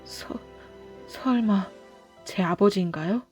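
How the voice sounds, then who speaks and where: A woman's voice: medium pitch, slow pace, a little mellow, soft background music, close to an everyday voice. A woman voicing a lovestruck young man in a storybook, earnest and emotional. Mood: anguished.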